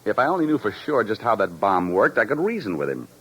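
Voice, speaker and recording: Fast-talking, wry private detective. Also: male voice, fairly low pitch, big pitch swings, fairly bright tone, slightly rough, full character voice, low-quality recording. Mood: wry, tense. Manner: clipped, wisecracking. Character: brisk, wry, impatient male private detective. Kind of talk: 1950s radio detective drama acting. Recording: vintage 1950s radio recording.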